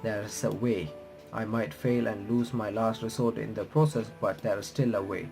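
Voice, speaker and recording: A man reading visual novel text aloud with bits of his own commentary, halting and correcting himself as he goes. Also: on the low side, steady tone, gravelly. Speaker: gaming reaction streamer. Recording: music playing behind the voice. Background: game music.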